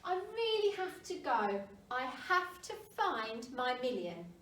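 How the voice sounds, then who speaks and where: A woman's voice: high-pitched, very animated, slow pace, fairly bright tone, heavily acted. A woman voicing a small, curious young penguin in a children's storybook, earnest and full of wondering questions. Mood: determined. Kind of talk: children's storybook read aloud. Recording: roomy, distant mic, faint noise.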